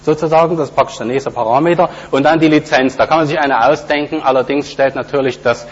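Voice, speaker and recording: A man's voice: a little high, fairly even intonation, fast, husky. A man giving a technical conference talk with a live demo, explaining step by step with many hesitations and fillers. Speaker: conference speaker. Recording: dull lo-fi recording with faint hum.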